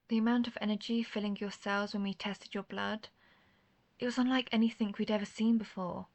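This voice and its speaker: Woman acting an earnest vampire huntress, creaky-voiced. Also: medium pitch. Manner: earnest, formal phrasing, addressing the listener directly. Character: intense, guarded female vampire hunter who is a vampire. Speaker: audio roleplay performer. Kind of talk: scripted first-person roleplay audio drama.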